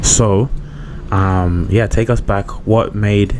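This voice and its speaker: A man's voice: on the low side, fairly even intonation, slow pace, a little mellow. A young man hosting a family podcast, upbeat and slangy as he interviews his dad. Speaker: podcast host, son. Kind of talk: casual family conversation. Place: cruise ship. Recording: noisy background.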